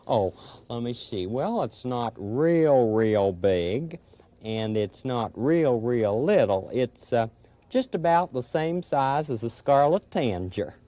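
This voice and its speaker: A man's voice: medium pitch, animated, slow pace, warm tone, dry recording. A man voicing a friendly male owl puppet who knows birds, eager and chatty with chuckles and "uh"s. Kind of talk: children's puppet show. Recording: dull old TV recording.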